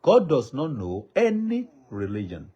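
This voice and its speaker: A man's voice: mid-range voice, wildly swooping pitch, measured pace, warm tone, husky, lo-fi sound. A Nigerian man with a Yoruba accent, a native Yoruba speaker, makes an impassioned public appeal in a pleading, repetitive cadence like a preacher. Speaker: traditional ruler addressing the public.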